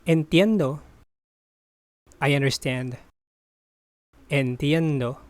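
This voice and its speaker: A teacher reading a phrasebook drill. Each phrase is said once, translated, then repeated slowly in parts. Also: medium pitch, a little mellow, no room sound. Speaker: language teacher.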